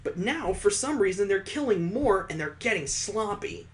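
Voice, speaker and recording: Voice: medium pitch, animated, normal speed, lightly acted. A game streamer voicing a stern, weary detective father who talks down to his son in a visual novel. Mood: grave, reassuring. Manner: condescending, grave.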